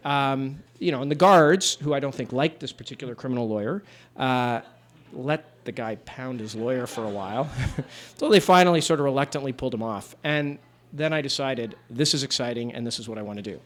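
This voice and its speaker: A man in his fifties from Canada, a judge telling stories to a room of people in a relaxed, wry, conversational way with fillers. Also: mid-range voice. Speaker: judge giving a talk. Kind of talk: relaxed storytelling talk to a live audience. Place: room with an audience.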